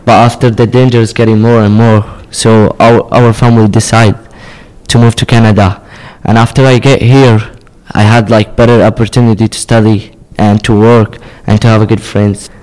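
Low, flat-voiced young man from Syria. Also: normal speed, low-quality recording. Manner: plain, non-native English. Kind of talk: personal story.

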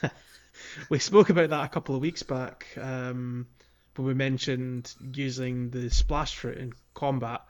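A man hosting a podcast, talking casually through a game walkthrough in a halting, explanatory way. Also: medium pitch, fairly even intonation, dull audio. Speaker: podcast host.

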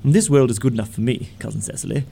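Man acting a husky, creaky young dandy. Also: mid-range voice, fast, mellow. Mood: flippant.

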